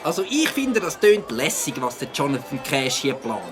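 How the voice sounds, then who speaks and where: A woman's voice: low voice, sing-song, moderate pace, over music, crowd noise. A woman voicing an enthusiastic, trusting young boy in a cartoon. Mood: eager, impressed. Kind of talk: cartoon character acting.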